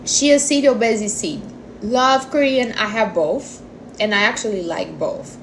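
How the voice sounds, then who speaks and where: Slow-paced woman of forty chatting casually. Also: medium pitch, slight background noise, rough recording. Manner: informal, non-native English phrasing, addresses listeners as honey and guys. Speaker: livestream host answering viewer questions on diet. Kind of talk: casual chat.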